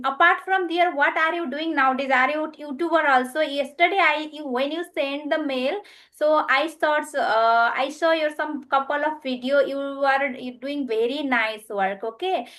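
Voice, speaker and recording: Halting woman, high voice. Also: fairly bright tone. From Nepal. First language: Nepali. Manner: halting non-native English, frequent restarts. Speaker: guest English learner. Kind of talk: casual video call chat. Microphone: video call.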